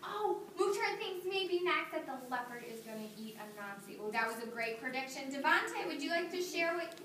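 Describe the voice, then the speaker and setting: A woman teaching kindergarten reads a story aloud and keeps stopping to ask the children questions and cheer their answers. Her voice is high and bright with big swings in pitch. It is picked up from a distance in an echoey classroom with faint background hum. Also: fairly quick. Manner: animated, praising, coaxing young children. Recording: roomy classroom audio from a distance.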